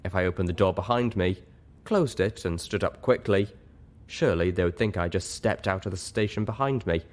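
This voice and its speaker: A man's voice: low-pitched, a little fast. A man narrating a first-person story expressively, as an audiobook reader.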